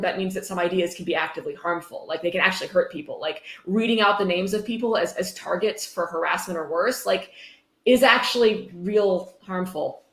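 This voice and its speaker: Female livestream host, mid-range voice, irreverent and sardonic, sweary, casual read-and-riff delivery, echoey room. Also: mid-range voice. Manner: sarcastic, irreverent, swearing freely. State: tipsy. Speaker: livestream host reading a book aloud. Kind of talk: casual livestream commentary with read-aloud passages. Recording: roomy, echoey room sound.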